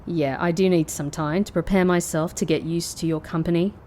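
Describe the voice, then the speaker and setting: A woman's voice: on the low side, steady tone, brisk, warm tone, slight background noise, lightly acted. An actress playing a reluctant, sardonic female ex-secret agent with a dry, grudging manner in an audio drama. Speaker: voice actor. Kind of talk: anime-style audio drama acting.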